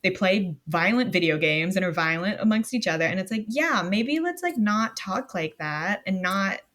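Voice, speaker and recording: A young woman from Pennsylvania co-hosting a book club podcast, talking in a relaxed, reflective way with frequent "like". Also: mid-range voice, moderate pace. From Pennsylvania, USA. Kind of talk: casual chat.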